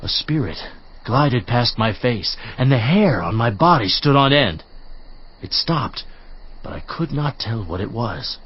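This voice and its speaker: A man playing a stern, admonishing counselor from scripture, speaking in an emphatic, rhetorical preaching manner with a husky voice. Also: medium pitch, sing-song, measured pace. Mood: hushed awe. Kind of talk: dramatized scripture reading, theatrical. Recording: slightly muffled audio.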